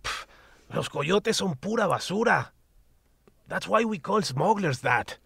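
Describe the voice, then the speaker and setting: A man's voice: high-pitched, animated, a little slow, fairly bright tone, no room sound, studio quality, heavily acted. An actor voicing a grumpy, foul-mouthed male talking cat in an audio drama, sarcastic and blunt, tossing in Spanish words. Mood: mocking. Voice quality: gravelly and husky. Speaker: magical shop cat.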